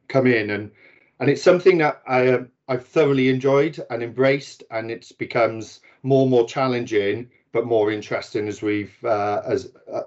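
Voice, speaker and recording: A man in his forties with a Welsh accent, giving a chatty, self-deprecating talk as a guest speaker on a video call. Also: medium pitch. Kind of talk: webinar talk. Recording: dull lo-fi video call audio.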